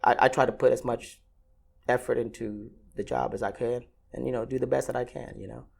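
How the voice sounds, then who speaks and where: A man's voice: medium pitch, little pitch movement, brisk, gravelly, a little mellow, breathy edge. A Vietnam-born ramp agent in his thirties, answering interview questions casually with many fillers and restarts.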